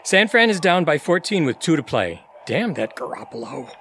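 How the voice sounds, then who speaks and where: A man's voice: a little high, big pitch swings, moderate pace, fairly bright tone, slight background noise. A man playing a jovial, football-loving buddy in an audio play. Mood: chatty. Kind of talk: audio theatre acting.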